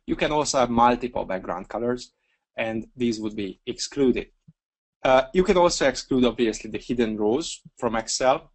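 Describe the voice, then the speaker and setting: A Hungarian man walking through a software feature step by step in a webinar screencast, relaxed and talking through each click with a few fillers. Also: medium pitch. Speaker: software trainer.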